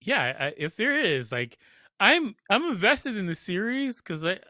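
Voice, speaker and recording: Male podcast co-host, bright forceful voice on the higher side, casual and bluntly opinionated. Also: sing-song, unhurried, dry recording, clean studio sound. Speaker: podcast co-host reviewing films. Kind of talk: casual film review chat.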